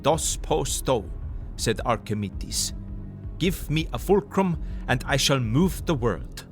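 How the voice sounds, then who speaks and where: Slow, fervent old professor. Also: male voice, medium pitch, lively intonation. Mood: earnest, lecturing. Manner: emphatic, quaint broken English, rhetorical questions. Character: older male foreign professor, earnest, fervent, philosophical lecturer. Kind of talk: audio drama acting. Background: music.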